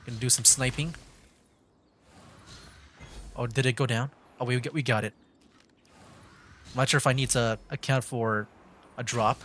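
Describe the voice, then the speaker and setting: A man's voice: mid-range voice, steady tone, moderate pace, long pauses, fairly bright tone, a little hiss or hum. A man streaming a game, giving casual live commentary, thinking aloud with mild exclamations. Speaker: streamer, VTuber gamer. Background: faint game music.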